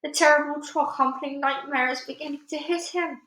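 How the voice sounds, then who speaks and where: A woman's voice: high voice, steady tone, normal speed, a bit bright, roomy sound, pitched above the performer's own voice, rough recording. A woman voicing a cautious, earnest male storybook giant who explains things in quirky muddled grammar. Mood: hushed urgency.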